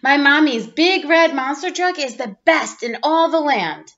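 Animated, high-voiced woman reading a picture book. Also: measured pace. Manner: expressive, playful exclamations and sound effects. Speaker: teacher reading aloud. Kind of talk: children's picture book read-aloud. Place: small room at home, indoors. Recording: dull lo-fi webcam audio.

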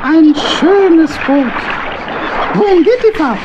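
A woman's voice: very high-pitched, animated, slow pace, muffled recording, noisy background, exaggerated voice. A woman playing a small, chatty, food-loving hedgehog in a children's radio play.

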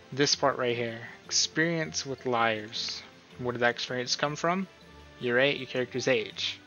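Man, casual tutorial host, middling pitch, bright forceful tone, informal thinking aloud, dull recording. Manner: informal, thinking aloud, often checking with "right?". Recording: dull, lo-fi sound with music.

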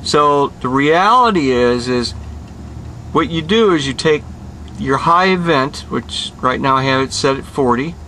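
Slow-talking middle-aged repair tech. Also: male voice, mid-range voice, expressive, pushed and bright, slight background noise. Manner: slow, plain-spoken, with many "uh" pauses. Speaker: HVAC technician. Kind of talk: hands-on repair tutorial. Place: outdoors by an air conditioning unit.

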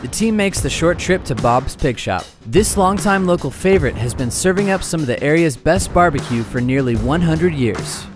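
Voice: mid-range voice, animated, moderate pace, hardly any pauses. A narrator reading a scripted travel show voice-over. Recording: music behind narration. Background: music.

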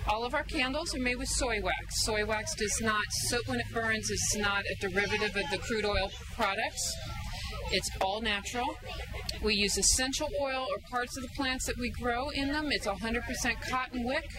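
Middle-aged woman, higher voice, friendly sales talk outdoors, clear background noise. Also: moderate pace. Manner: friendly, plain-spoken, explaining products. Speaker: farm owner and soap maker. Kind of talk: casual product talk to camera. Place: outdoor market tent with banner behind.

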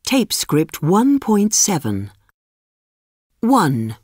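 A woman announcing textbook tracks and reading the alphabet slowly and deliberately. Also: medium pitch, huge pitch swings, darkish tone, no echo, clean studio sound. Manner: slow, deliberate and carefully spoken. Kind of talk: scripted lesson announcements.

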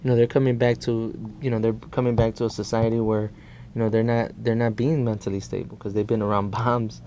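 Mellow low-voiced man, halting delivery. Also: little pitch movement, slight background noise, poor audio. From born in El Salvador. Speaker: guest, immigrant rights activist. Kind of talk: unscripted political discussion. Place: small indoor TV studio.

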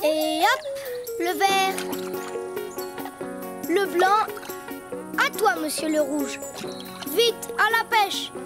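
A performer voicing a cheerful, eager little boy donkey in a preschool cartoon, with a childlike, expressive delivery. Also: very high voice, drawn-out words, long pauses, exaggerated voice. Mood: eager, playful. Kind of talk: preschool cartoon voice acting in French. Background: cartoon music.

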